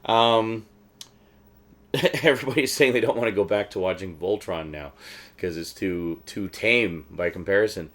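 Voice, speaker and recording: A middle-aged man with a low voice talks casually, like a host showing off a collection. He rambles conversationally, often halting to think aloud as he goes. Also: fairly bright tone.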